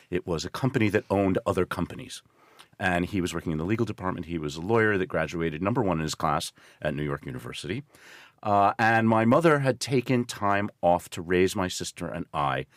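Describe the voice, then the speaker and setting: A man's voice: low voice, dry recording, clean studio sound. An American man in his sixties, an interview guest telling stories in an articulate, explanatory, conversational way. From northeastern United States. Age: 60s. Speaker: interview guest, journalist and risk consultant. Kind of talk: measured conversational storytelling.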